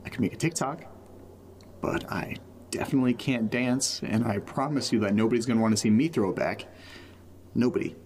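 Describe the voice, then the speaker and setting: A man's voice: mid-range voice, fairly quick, a little mellow, lightly acted. An actor playing a rambling, self-deprecating young man recording a casual, joking audio diary. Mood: wry, upbeat. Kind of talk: found-footage audio drama, casual podcast monologue.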